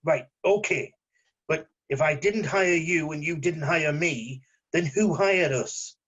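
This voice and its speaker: A man playing a cautious, businesslike assassin in his late thirties at an amateur table read, clipped, deadpan and suspicious. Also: a little high, slow, breathy edge, exaggerated voice. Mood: puzzled. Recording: dull lo-fi voice recording.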